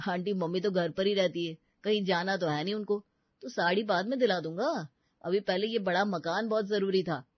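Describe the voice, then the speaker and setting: A man's voice: high-pitched, normal speed, no echo, lo-fi sound. An actor playing a stingy, lecturing young father in an acted family story, brushing off every request. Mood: defensive. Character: stingy, dismissive young father obsessed with his new house. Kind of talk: acted Hindi audio story dialogue.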